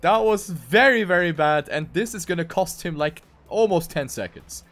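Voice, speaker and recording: A man's voice: sits high, lively intonation, moderate pace, a bit bright, soft background music. A man doing live esports commentary on a racing game, with dramatic, emphatic phrasing.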